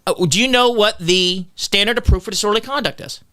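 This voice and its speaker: Defensive candidate guest: man with a loud, clear, brisk voice. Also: high voice. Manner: combative, argumentative, lectures on legal details. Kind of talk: defensive podcast interview.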